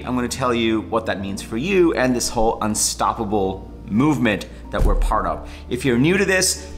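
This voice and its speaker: Upbeat man vlogging to camera. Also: medium pitch. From Bangladesh, raised in New York. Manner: upbeat, enthusiastic, quick lively delivery. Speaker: vlogger, weekly channel host. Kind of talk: casual vlog update to camera. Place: home studio living room indoors.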